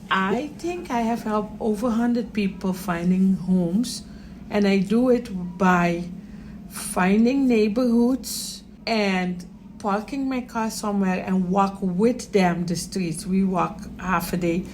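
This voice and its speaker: A woman's voice: medium pitch, slow pace, darkish tone, a little hiss or hum, poor audio. Dutch-native woman from Curaçao, earnest. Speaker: migrant volunteer. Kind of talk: interview.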